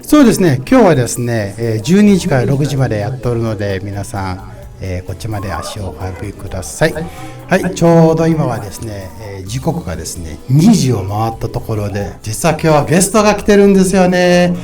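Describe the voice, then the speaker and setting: A male radio host on a live remote broadcast, with music clearly playing behind him. He talks in loose, unscripted phrases full of hesitations and repeats what he hears as he moves along. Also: mid-range voice, sing-song, rapid-fire. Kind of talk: live bilingual radio interview. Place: live broadcast at a market event.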